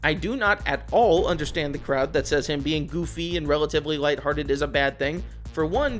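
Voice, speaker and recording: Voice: mid-range voice, a bit bright. A video essayist reading a scripted film review in a casual, opinionated, sweary way.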